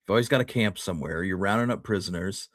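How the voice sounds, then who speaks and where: A man with a lowish voice, recorded dry and close, speaks as a podcast co-host. He chats casually and agreeably, chiming in with short supportive remarks. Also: fast, a bit bright, no echo. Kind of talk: casual chat.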